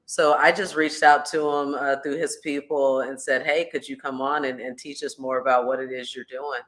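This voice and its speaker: A man's voice: medium pitch, flat delivery, moderate pace, fairly bright tone, rough recording. A man hosting a casual stream interview, talking loosely and rambling, full of "you know" and "um". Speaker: stream show host.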